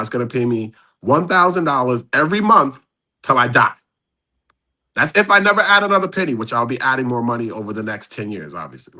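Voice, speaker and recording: Bright-voiced man in his fifties. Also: mid-range voice. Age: 50s. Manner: walks through numbers step by step, chatty. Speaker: guest. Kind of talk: casual live-stream chat.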